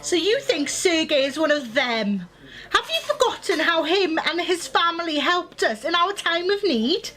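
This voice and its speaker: A woman's voice: very high-pitched, big pitch swings, a little slow, bright, forceful tone, exaggerated voice. A young woman acting a defiant, exasperated grown daughter in a radio play.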